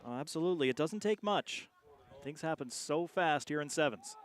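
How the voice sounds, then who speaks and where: Male colour commentator, slightly high voice, chatty analysis of live rugby outdoors. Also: lively intonation, moderate pace. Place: outdoors at a sports field.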